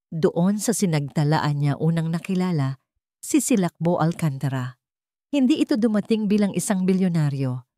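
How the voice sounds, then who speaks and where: A Filipino woman reads a dramatic love story as a scripted narration. Her voice sits on the low side, and the sound is very dry, with no room around it. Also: dark tone, no room sound. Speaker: narrator of audio love stories.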